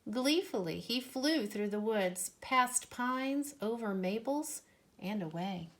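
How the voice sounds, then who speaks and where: A woman reading a children's storybook aloud expressively, with measured, deliberate phrasing. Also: fairly high pitch, lively intonation, fairly bright tone.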